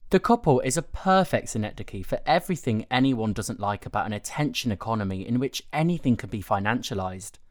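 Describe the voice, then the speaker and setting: Man, scripted article narration, medium pitch, measured articulate delivery, very dry recording. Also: no echo.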